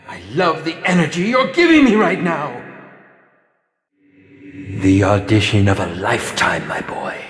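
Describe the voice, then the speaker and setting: An actor playing a menacing, sadistic horror film director in a video game, theatrical and drawn-out in delivery, in an echoey space. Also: male voice, mid-range voice. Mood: gleeful, commanding. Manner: flamboyant, drawn-out, swinging from hushed to shrieking. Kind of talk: theatrical game villain monologue and taunts. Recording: echoey reverberant hall with background noise.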